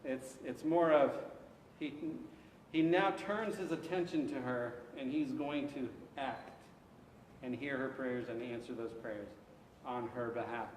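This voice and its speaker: Pastor teaching in an echoey church hall. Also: male voice, mid-range voice, a little slow, recorded from a distance, a little hiss or hum. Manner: conversational, takes questions, frequent restarts and acted asides. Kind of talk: interactive Bible study teaching. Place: church sanctuary.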